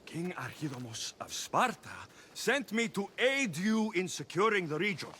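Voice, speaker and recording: Bright-voiced, cocky Greek mercenary. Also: male voice, high-pitched, sing-song, moderate pace, a little hiss or hum, heavily acted. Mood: defiant, dry. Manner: terse, confident. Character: tough, cocky young Greek mercenary man. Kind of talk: dramatic video game dialogue.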